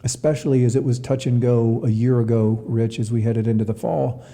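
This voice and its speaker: Male interviewee, sports administrator type, earnest and explanatory. Very soft, dark tone, recorded with long hall reverb. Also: mid-range voice, little pitch movement, fairly quick. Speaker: athletics director being interviewed. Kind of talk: reflective interview answers.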